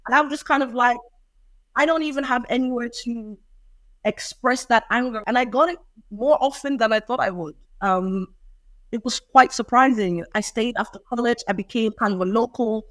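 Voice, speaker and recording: A woman's voice: on the high side, normal speed, a bit bright, dry recording, low-quality recording. A young adult woman, answering interview questions in a reflective, thoughtful way. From Ireland. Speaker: poet and interview guest.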